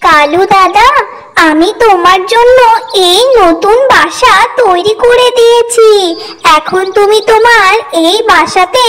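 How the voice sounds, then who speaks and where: Woman voicing a very high, bright little mother bird. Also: slow, heavily acted. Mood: gentle, helpful. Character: small kindly hardworking mother tailorbird, female. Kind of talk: children's cartoon story character voice.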